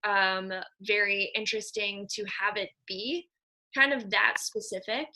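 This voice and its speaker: An American woman hosts a casual podcast with a bright, crisp-edged voice at a middle pitch. She is effusive and affectionate, introducing her guests in informal, chatty language. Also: unhurried, low-quality recording. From Nebraska, US. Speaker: podcast host.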